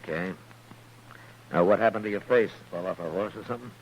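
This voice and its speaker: An actor playing a stern, weary American frontier marshal in a radio western, speaking with dry, sardonic authority. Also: male voice, sits low, fairly quick, lightly acted. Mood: brisk, probing. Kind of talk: 1950s radio western acting. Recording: noisy, humming old radio recording.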